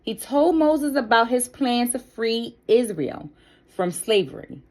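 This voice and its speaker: A woman reading a children's Bible story aloud as a storyteller, with deliberate, careful and expressive phrasing. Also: a little high, rough recording.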